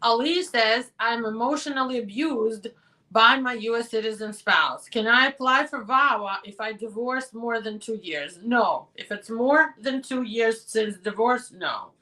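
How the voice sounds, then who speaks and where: A hoarse woman attorney answers viewer questions on a live show in a direct, practical way. Her voice sits a little high and sounds bright and forceful. She sounds a bit distant from the mic. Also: a little slow.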